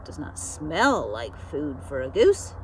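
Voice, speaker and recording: A woman's voice: low-pitched, sing-song, slight background noise, pitched below the performer's own voice, full character voice, low-quality recording. A woman voicing a proud, silly female goose in a children's storybook, self-important and sure of herself. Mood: musing, delighted. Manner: self-important, exclaiming, deliberate. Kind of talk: children's storybook character reading.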